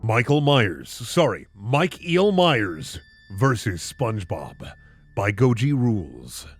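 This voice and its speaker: A man hosting a comedic reading of bad fan fiction, narrating with incredulous, sardonic asides. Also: medium pitch. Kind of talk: comedic narration with sarcastic commentary.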